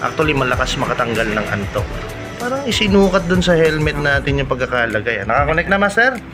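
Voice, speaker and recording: A middle-aged man with a Filipino accent, chatting to viewers in a casual vlog full of shout-outs. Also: mid-range voice, sing-song, fast talker. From Philippines. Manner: chatty, often addressing viewers. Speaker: motovlogger host. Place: vlogging in an intercom accessory shop. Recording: loud music behind the voice.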